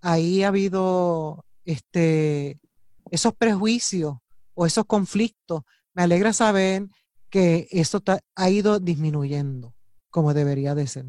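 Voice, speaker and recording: A host puts questions to the guests and explains things in a steady, measured way. The voice sits mid-range, recorded dry and close. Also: medium pitch, no room sound. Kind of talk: casual chat.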